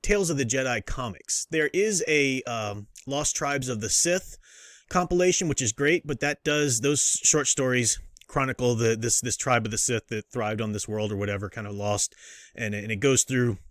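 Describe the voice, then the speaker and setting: A man's voice: mid-range voice, normal speed, a bit bright, no room sound. A man hosts an off-the-cuff review in a mid-range voice. He rambles and doubles back on his sentences with a fan's enthusiasm. The sound is dry, with little room to it. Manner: rambling, self-interrupting, enthusiastic fan talk. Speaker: host reviewing books and comics. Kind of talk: casual unscripted review commentary.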